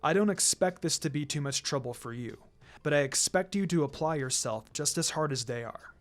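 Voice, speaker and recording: A narrator voicing a calm adult male ninja teacher with a measured, instructive and dryly teasing manner in a fan fiction reading. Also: medium pitch, soft background music, pitched below the performer's own voice, close to an everyday voice. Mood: gently teasing.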